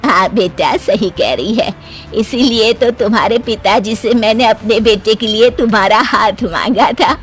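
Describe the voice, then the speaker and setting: A woman voicing an amused, indulgent older mother-in-law in an animated family story, gently teasing as she talks. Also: a little high, measured pace, soft background music, natural voice. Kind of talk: animated Hindi moral story voice acting.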